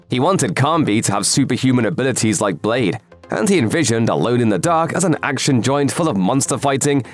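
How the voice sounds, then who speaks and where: A man delivers scripted video essay narration with a sardonic, emphatic edge. His pitch moves around in a lively way as he stresses key words. Music plays quietly underneath. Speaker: voiceover narrator. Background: background music.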